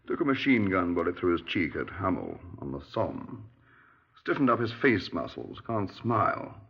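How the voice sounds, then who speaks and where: An actor playing a genial, breezy middle-aged British retired major in a 1950s radio drama, clipped and dismissive. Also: male voice, low-pitched, big pitch swings, normal speed, vocal fry, breathy edge, heavily acted. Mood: wry, rueful. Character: genial, dapper retired army major, breezy small-town murder suspect. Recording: muffled 1950s radio recording.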